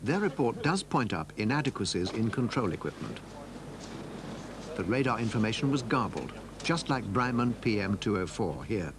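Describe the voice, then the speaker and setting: A man's voice: on the low side, sing-song, moderate pace, background noise. A man reading scripted narration for a documentary.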